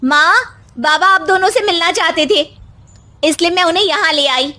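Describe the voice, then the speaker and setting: A woman's voice: extremely high pitch, normal speed, pushed and bright, pitched above the performer's own voice. A woman voicing an exasperated married daughter who pleads with and scolds her family in a cartoon story. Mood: explaining.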